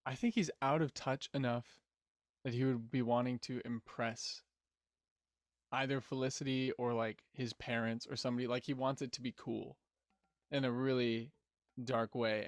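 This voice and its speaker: A man's voice: medium pitch, dry recording, studio quality. A man who works as a voice actor, chatting casually and teasing in a joking way. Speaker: voice actor guest. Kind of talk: casual chat.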